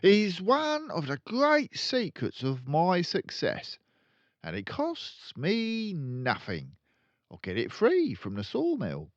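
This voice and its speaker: Guy doing a bragging crooked car dealer dad, rude n loud, pitch jumps all over, storybook reading. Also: huge pitch swings, slow pace, no room sound, muffled recording, exaggerated voice. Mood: smug boasting. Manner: loud bragging, sneering, colloquial. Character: boastful, crooked, rude car-dealer father, middle-aged.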